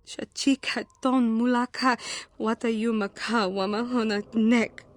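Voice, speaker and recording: A woman's voice: a little high, fairly even intonation, a little slow, close to an everyday voice. A woman playing an intense fallen Jedi in a video game, speaking lines in an invented alien tongue.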